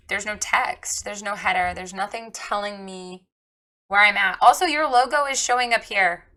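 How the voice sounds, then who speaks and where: A woman with a hoarse, froggy voice from a recently lost voice, frankly reviewing a photographer's website in a chatty, encouraging way. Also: medium pitch, normal speed, bright, forceful tone, poor audio. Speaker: website reviewer giving feedback. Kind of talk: casual screen-share critique.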